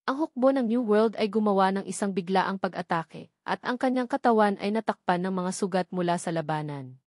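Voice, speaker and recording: A woman reads a novel aloud as a scripted narrator, formally and in measured, separate phrases. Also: mid-range voice, expressive, a little mellow, no room sound, studio quality. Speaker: audiobook narrator.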